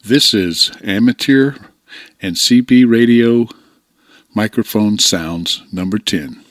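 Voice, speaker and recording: An older man giving informal instructional narration in a plain-spoken, unhurried way, close to a desktop microphone. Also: fairly low pitch, no echo. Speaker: radio hobbyist presenter. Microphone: close desktop microphone, voice-over.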